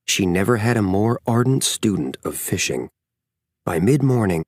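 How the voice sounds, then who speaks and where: A male audiobook narrator reads a scripted story in a fairly low voice. His storytelling is measured and expressive. The recording is dry, with very little room sound. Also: no echo.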